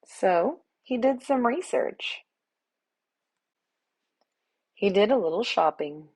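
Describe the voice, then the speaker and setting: A woman's voice: medium pitch, lively intonation, takes it slowly, a little mellow, slightly rough, no room sound. A woman reading a children's picture book aloud expressively, as a storyteller. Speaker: read-aloud host, storyteller.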